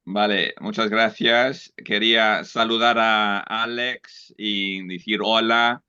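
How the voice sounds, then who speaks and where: A man whose first language is English, asking questions in halting Spanish and searching for words. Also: fairly low pitch, fairly even intonation, bright, forceful tone, smooth, muffled recording. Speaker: audience member asking questions.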